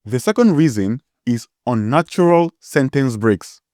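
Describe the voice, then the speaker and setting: A man's voice: mid-range voice, animated, unhurried, darkish tone, no room sound, clean studio sound. A man with a strong Nigerian accent hosting a tutorial, explaining step by step and spelling words out letter by letter. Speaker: tutorial host.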